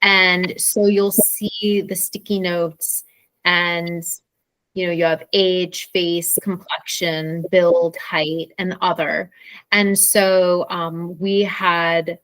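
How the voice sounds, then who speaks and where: A woman's voice: fairly low pitch, little pitch movement, slow, a bit bright, no room sound, poor audio. An American woman co-hosting an informal English lesson in a conversational teaching style. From Pennsylvania, USA.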